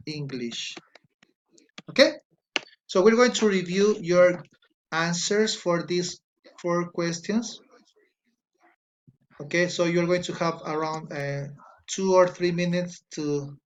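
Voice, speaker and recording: Ecuadorian man teaching English slowly. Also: fairly high pitch, a little hiss or hum. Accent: Ecuadorian Spanish. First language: Spanish. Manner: slow, patient, corrects spelling and grammar aloud. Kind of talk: online class, reading student answers.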